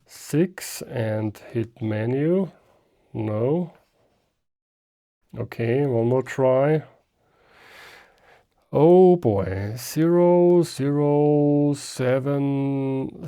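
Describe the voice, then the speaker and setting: Man, German speaker, unhurried pace, mellow tone, casual hands-on demo, thinks aloud. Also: medium pitch. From Austria. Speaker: ham radio reviewer. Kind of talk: casual unscripted hands-on gadget demonstration.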